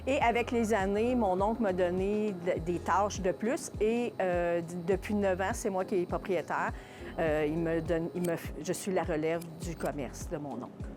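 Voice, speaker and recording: A woman with a Quebec French accent giving casual interview answers as a shop owner, haltingly searching for her words. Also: fairly low pitch, over music. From Contrecœur, Quebec, Canada. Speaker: small business owner being interviewed.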